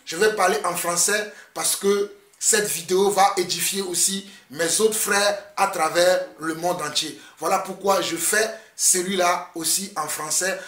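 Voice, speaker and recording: A man's voice: high-pitched, a bit bright, roomy sound, far from the mic. A man from Togo with a Togolese French accent, a preacher whose first language is Mina or Ewe, speaking earnestly in an online sermon video and repeating phrases as he exhorts.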